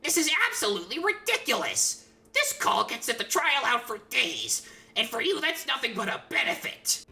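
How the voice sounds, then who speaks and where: A woman's voice: fairly high pitch, very animated, moderate pace, gravelly, bright, forceful tone, distant mic, soft background music, full character voice. A woman voicing a blunt, exasperated prosecutor in a video game parody courtroom skit. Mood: scornful, exasperated. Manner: blunt, incredulous.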